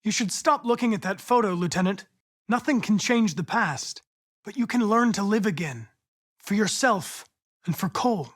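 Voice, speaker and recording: A young male android detective from a video game, with an American accent and a notably high voice. He speaks in precise, measured, formal phrases. He comes across as polite and analytical, with an earnest determination underneath. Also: lively intonation, a bit bright. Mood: gentle, sympathetic.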